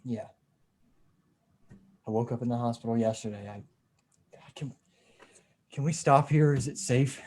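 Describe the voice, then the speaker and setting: A man acting a weary, shaken apocalypse survivor with terse, halting delivery in a comic book table read over a video call. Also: mid-range voice, a little mellow, close to an everyday voice. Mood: weary, questioning.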